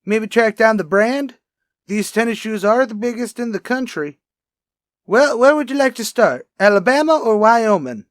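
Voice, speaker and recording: A man playing a wry, chatty police lab technician in a radio crime drama, brisk and casual. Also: high voice, animated, no echo, clean studio sound. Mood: sarcastic. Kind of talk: old-time radio crime drama acting.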